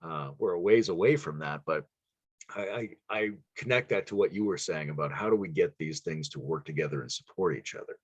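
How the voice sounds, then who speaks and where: A man's voice: sits low, fairly quick, slightly rough, no echo, highs cut off. A man talking as a guest on a podcast, spinning long theoretical ideas in a loose, musing way, chuckling now and then. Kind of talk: casual conversation.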